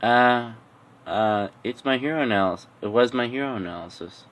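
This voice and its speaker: A dejected, self-doubting teenage boy from an anime fan story, acted out by a male narrator. He speaks hesitantly, in a bright voice of middling pitch. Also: normal speed, lightly acted. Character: dejected teenage boy who dreamed of being a hero.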